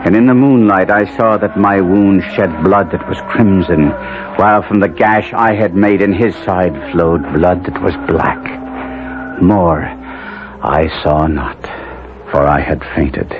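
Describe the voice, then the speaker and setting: A man playing a passionate young nobleman in a gothic 1970s radio drama, narrating his tale in halting, archaic, intense speech. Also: sits low, steady tone. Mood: grim, frenzied. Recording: muffled 1970s radio recording, music behind.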